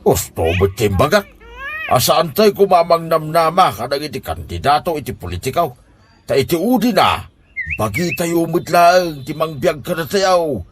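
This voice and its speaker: A man's voice: fairly high pitch, big pitch swings, moderate pace. A man playing a nagging, concerned older uncle in a comedy radio drama. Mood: scolding. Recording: music under the voice. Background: background music.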